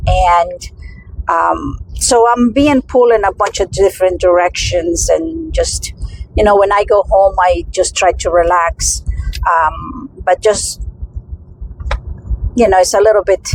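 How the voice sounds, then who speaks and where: A woman's voice: fairly low pitch, slight background noise, poor audio. A middle-aged woman with a Spanish accent, talking in a casual personal vlog and rambling through many restarts and fillers. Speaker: vlogger.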